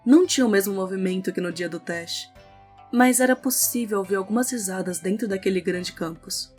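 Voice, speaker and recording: A woman's voice: mid-range voice, fairly quick, darkish tone, breathy edge. A woman with a Brazilian accent reading scripted narration for an audio novel. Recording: music under the voice.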